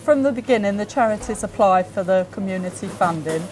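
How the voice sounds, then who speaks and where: A woman's voice: medium pitch, lively intonation, brisk, darkish tone, a little hiss or hum. A woman, a shop's community volunteer, talking cheerfully and a little hesitantly with uhs in an interview. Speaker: community champion at a shop. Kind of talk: on-site interview. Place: convenience store, indoors.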